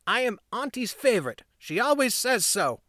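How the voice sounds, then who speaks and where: A man voicing a cocky young street pickpocket boy who boasts loudly. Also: sing-song, normal speed, dry recording, clean studio sound, heavily acted. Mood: boastful. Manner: boastful, high childish bluster.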